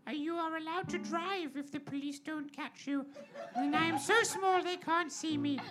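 A woman voicing a small cheeky Dutch boy in an improvised comedy sketch, joking deadpan. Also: high voice, moderate pace, natural voice. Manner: silly deadpan improvised jokes.

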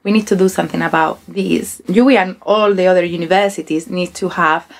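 A woman's voice: fairly low pitch, steady tone, fairly quick, gravelly. A woman with a Spanish accent, a university staff member, talking hesitantly in a podcast conversation with many fillers.

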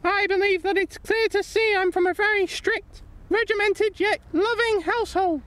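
A woman playing a stern, fastidious child-custody inspector in a comedy audio drama, speaking in a prim, clipped, officious way. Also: very high voice, fairly even intonation, a bit bright, no room sound. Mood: stern, proud.

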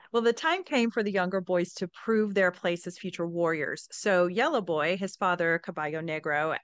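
A woman's voice: on the low side, a bit bright, smooth, no echo. A woman hosting a podcast, telling a story in scripted narration with casual asides. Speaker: podcast host.

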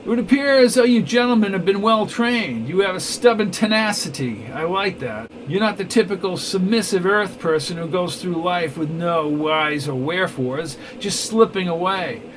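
A man's voice: high voice, expressive, normal speed, hardly any pauses, a little hiss or hum, pitched above the performer's own voice, poor audio. A man voicing a cold, scheming male villain who speaks with clipped, imperious menace. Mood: smug.

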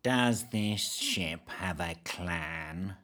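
A man voicing a hunched, emaciated ratlike man who drawls in a monotone, in a storybook reading. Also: sits low, drawn-out words, pitched below the performer's own voice, exaggerated voice. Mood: menacing.

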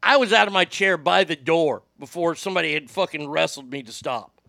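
High-voiced, gravelly, bright radio man. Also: a little fast, no room sound, studio quality. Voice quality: high voice without much bass. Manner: profane, animated storytelling with quoted voices. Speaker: radio host. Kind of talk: casual storytelling podcast.

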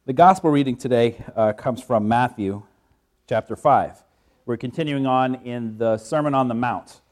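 A male pastor explaining the passage in a conversational way, then reading the gospel in a measured, solemn voice. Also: medium pitch, darkish tone. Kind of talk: sermon introduction and gospel reading. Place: church sanctuary.

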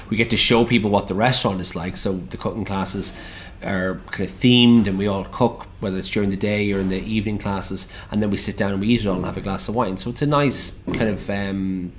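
A man's voice: on the low side, slight background noise. A young Irish man who runs restaurants, chatting casually in a radio interview with lots of restarts. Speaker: restaurant owner and chef.

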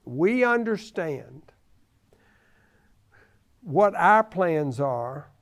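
A man in his seventies with a creaky voice, giving conversational religious teaching in a halting way, repeating phrases for emphasis. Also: a little high, big pitch swings, a bit bright. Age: 70s.

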